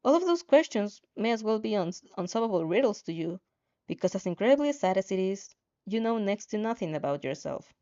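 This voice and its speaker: A woman livestreaming in a soft voice, reading aloud and chatting in a rambling, self-interrupting way. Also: mid-range voice, sing-song, brisk, no room sound. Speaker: streamer, fanfiction reader. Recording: slightly muffled audio with music behind.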